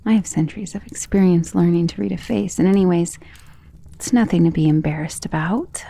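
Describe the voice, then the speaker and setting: Woman playing a breathy, whispering, tender vampire woman. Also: lowish voice, a little fast. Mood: tender, gentle. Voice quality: soft, breathy, often dropping to a whisper. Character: centuries-old female vampire, tender, romantic, protective. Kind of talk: ASMR romance roleplay, intimate scripted monologue.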